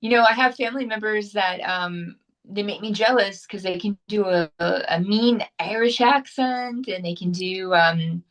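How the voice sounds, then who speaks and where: A woman's voice: mid-range voice, moderate pace, fairly bright tone, low-quality recording. A woman actress chatting as a guest in a casual interview, upbeat and talkative, often restarting her sentences.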